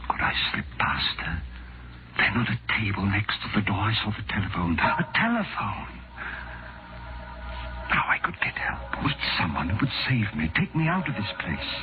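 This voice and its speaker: Low-voiced man, desperate and haunted, melodramatic 1940s radio horror; muffled old recording over loud music. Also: big pitch swings. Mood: hushed dread. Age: middle-aged or older. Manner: breathless, halting, melodramatic. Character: terrified desperate father trapped in a sinister hospital. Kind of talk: 1940s radio horror acting, first-person narration. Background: dramatic music.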